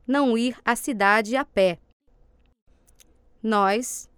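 A woman reading instructions and cue words for a language course drill in a calm, precise way. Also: a little high, extremely slow, a little hiss or hum. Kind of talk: scripted language drill prompts.

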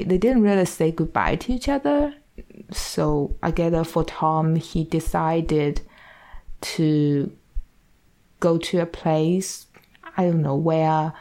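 A woman's voice: low-pitched, dark tone. A woman in a casual film discussion, speaking as a panelist with thoughtful, hesitant phrasing.

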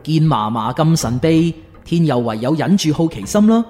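A man narrates a radio drama in a Hong Kong Cantonese accent. His high voice moves up and down in lively storytelling, with clear music beneath. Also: moderate pace.

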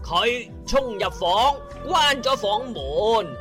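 A man's voice: high voice, expressive, very slow, over music. A male narrator telling a comic radio drama with playful, chatty asides.

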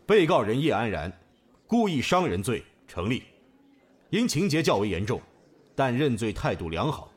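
A man's voice: mid-range voice, very animated, moderate pace, husky. A man playing a solemn judge in a radio drama, speaking formally and declaiming. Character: stern, formal male judge delivering a verdict.

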